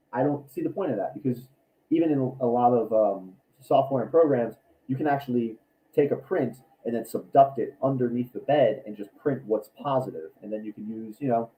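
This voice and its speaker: Raspy man, dark mellow voice, rambling casual livestream host. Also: on the low side, low-quality recording. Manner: rambling, many ums and restarts. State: raspy from allergies. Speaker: cosplay maker and YouTuber.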